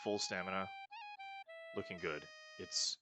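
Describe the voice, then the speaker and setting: A man's voice: sits low, steady tone, a little slow, over music. A man casually commenting while he plays a video game, thinking aloud and hedging often. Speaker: let's play host.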